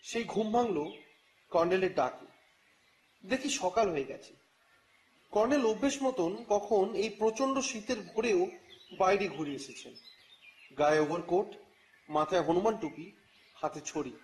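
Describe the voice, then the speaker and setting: High-pitched, wry male storyteller. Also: animated, measured pace, long pauses, darkish tone, low-quality recording. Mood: wry, narrating. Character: wry, grumbling male reporter narrating his detective adventure. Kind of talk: dramatized first-person story narration. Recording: music bed under the voice.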